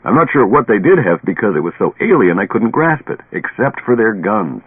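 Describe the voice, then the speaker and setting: An actor playing a stubborn, haunted, tipsy professor who lectures deliberately and dramatically in a radio play. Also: male voice, fairly low pitch, sing-song, husky, phone-quality sound. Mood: insistent. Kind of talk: old radio drama acting. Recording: band-limited old radio recording, echoey.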